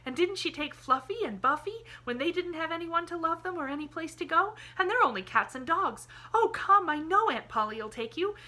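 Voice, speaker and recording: A woman's voice: high voice, animated, normal speed, bright, forceful tone, pitched above the performer's own voice, exaggerated voice. Woman doing a gushing, chattering little girl. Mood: excited. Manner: breathless, gushing, quaint old-fashioned phrasing. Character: eager, chattering young girl, endlessly cheerful. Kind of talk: audiobook character voice.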